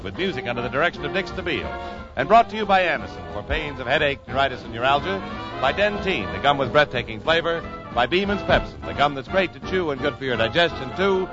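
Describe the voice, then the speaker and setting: A man's voice: medium pitch, very animated, a little fast. A man announcing credits and sponsors in the formal style of a 1950s radio announcer. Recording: dull lo-fi 1950s radio recording. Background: music.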